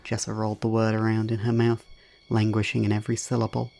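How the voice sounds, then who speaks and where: A man's voice: on the low side, monotone, fast talker, vocal fry, warm tone. A man narrating a scripted dramatic story as the narrator of an audio drama.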